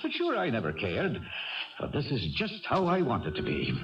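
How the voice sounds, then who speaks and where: A man's voice: medium pitch, huge pitch swings, moderate pace, vocal fry, distant mic. Chatty irish ex-jockey in his 50s, super sing-song, old muffled radio drama. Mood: warm, persuasive. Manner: folksy, lilting, blarneying talk. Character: small, genial, talkative Irish ex-jockey in his fifties. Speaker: character narrator. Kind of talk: 1970s radio drama acting.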